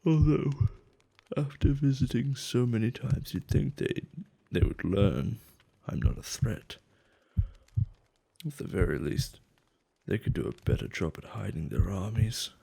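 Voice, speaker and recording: A man acting a gentle, caring giant in a soft-spoken, soothing and affectionate ASMR roleplay, with slightly formal phrasing. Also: a little slow, heavily acted. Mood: earnest, thoughtful. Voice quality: low, soft, gravelly. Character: gentle male giant, tender, curious and caring toward humans.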